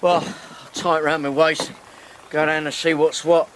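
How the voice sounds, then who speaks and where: An actor playing a rough, cheerful boatman who speaks bluntly and swears freely. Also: fairly high pitch, little pitch movement, moderate pace, natural voice. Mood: steady. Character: rough-and-ready boatman, brave, coarse and cheerful. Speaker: boat skipper. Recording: noisy background.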